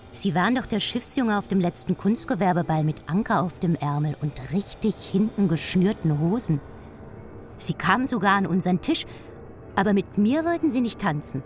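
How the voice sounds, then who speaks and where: An actress in a German radio play playing a pert, independent young cabaret singer, candid and cheeky in a matter-of-fact way. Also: female voice, lowish voice, natural voice. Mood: teasing.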